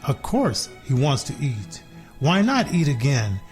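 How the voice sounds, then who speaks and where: Smug, possessive storybook cat, voiced by a man, mid-pitched, slow and measured, music behind. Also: big pitch swings, a little mellow. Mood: smug, unhurried. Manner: deliberate, self-satisfied storybook telling with pauses. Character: smart, smug, possessive pet cat telling its life. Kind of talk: children's story reading.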